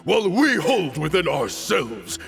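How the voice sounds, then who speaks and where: Grandiose, gravelly mercenary commander. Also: male voice, fairly high pitch, very animated, unhurried, a bit bright, heavily acted. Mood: rallying fervor. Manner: theatrical, grandiose, declamatory. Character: proud fanatical mercenary commander, grandiose and doomed. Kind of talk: scripted video game voice acting. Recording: long hall reverb under game music.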